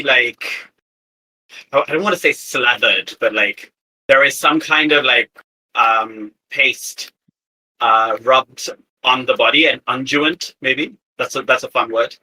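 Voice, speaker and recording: A man's voice: medium pitch, slow pace, bright, forceful tone, rough recording. A man playing a tabletop role-playing game, chatting casually and thinking aloud as he improvises details in short, hesitant bursts. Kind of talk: casual improvised worldbuilding chat.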